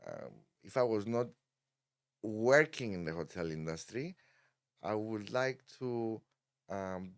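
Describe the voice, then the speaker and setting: A man's voice: on the low side, animated, slow, pauses often, no echo, clean studio sound. A middle-aged man from Cyprus, a hotel director talking as a guest in a casual interview, hesitant, with many ums and restarts.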